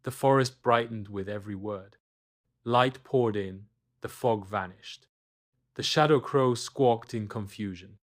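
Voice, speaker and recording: A man's voice: lowish voice, measured pace. A man reading a scripted children's bedtime story as the storyteller.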